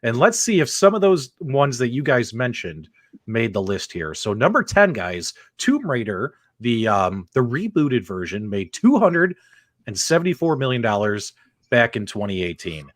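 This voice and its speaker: An upbeat man hosts a live panel show with a very animated delivery. His voice sits at a middling pitch, and he talks straight to the audience. The sound is dry and close. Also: normal speed, no echo. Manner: upbeat, addresses the audience directly. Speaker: host. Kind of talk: hosting a live panel discussion.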